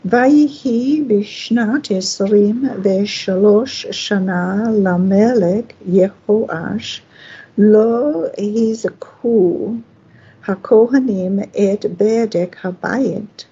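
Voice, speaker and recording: A woman reading scripture and blessings in a deliberate, halting way, phrase by phrase. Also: medium pitch, warm tone, slightly rough. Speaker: scripture reader. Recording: dull, muffled lo-fi audio.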